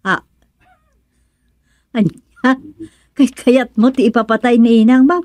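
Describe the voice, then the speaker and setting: A woman playing a plain-spoken, measured village housewife in a radio comedy-drama. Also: on the high side, steady tone, darkish tone, no echo, lightly acted.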